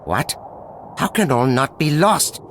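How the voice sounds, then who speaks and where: A man's voice: on the high side, lively intonation, slow, a bit bright, slight background noise, exaggerated voice. A man playing an anxious, whiny villain's underling in a video game cutscene. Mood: fretful, dismayed.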